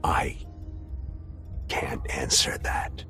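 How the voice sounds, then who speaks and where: An actor playing a fearful, evasive scientist held captive in a video game cutscene. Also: male voice, extremely slow, pushed and bright, soft background music.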